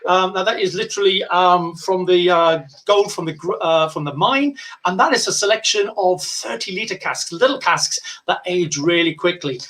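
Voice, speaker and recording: Bright high-voiced chatty man. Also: normal speed, hardly any pauses. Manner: chatty, rambling, frequent fillers and restarts. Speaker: whisky brand ambassador presenting deals. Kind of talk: casual live-stream sales chat.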